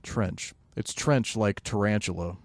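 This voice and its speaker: A man's voice: lowish voice, measured pace. A man co-hosting a podcast in casual chat, thinking aloud in a laid-back, hesitant way. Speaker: podcast co-host.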